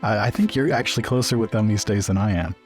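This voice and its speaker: A man playing a restless, reticent scholar with an adventurous streak, speaking haltingly in improvised roleplay over background music. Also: lowish voice, natural voice. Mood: thoughtful. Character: James, restless adventurous young scholar, reticent about leaving. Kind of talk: improvised in-character roleplay dialogue.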